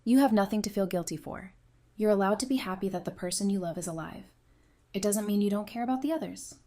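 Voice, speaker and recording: A woman acting as a caring psychiatrist in an audio drama, weary and hurt underneath. She talks candidly and reassuringly, with a wry, self-deprecating edge, at a middle pitch. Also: fast talker, warm tone, close to an everyday voice.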